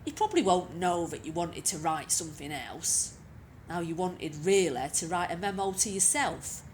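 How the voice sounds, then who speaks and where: A woman's voice: lowish voice, lively intonation, moderate pace, darkish tone. A woman reads a short story expressively, in a conversational way that addresses the listener. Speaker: reader of short stories. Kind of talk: expressive scripted story reading. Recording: clearly noisy with background ambience.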